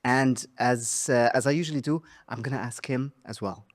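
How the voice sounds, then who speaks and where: A man's voice: medium pitch, moderate pace. A man hosting a podcast interview, thankful and self-deprecating as he introduces his guest. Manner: warm, grateful, self-deprecating. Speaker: podcast host.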